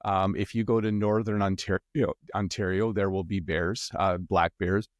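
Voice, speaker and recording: A middle-aged Canadian man with a Canadian accent, chatting as an interview guest in a relaxed, rambling way with many ums and restarts. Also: low voice, moderate pace, dry recording, studio quality. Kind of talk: casual interview chat.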